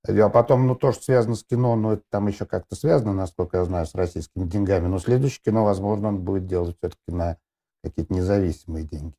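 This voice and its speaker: A middle-aged man with a low, dark, mellow voice. He talks casually as a guest, rambling through fillers, half-words and restarts as he works out his thoughts. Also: steady tone, fast. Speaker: film director guest. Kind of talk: casual discussion.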